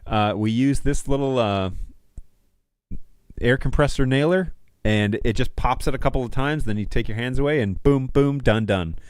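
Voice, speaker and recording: A man's voice: mid-range voice, normal speed, smooth, dry recording. A man in his thirties hosting a casual livestream, chatting to viewers in an easygoing, slangy, jokey way at a close studio mic. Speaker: livestream host. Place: small home studio room indoors. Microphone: close studio mic on a stand.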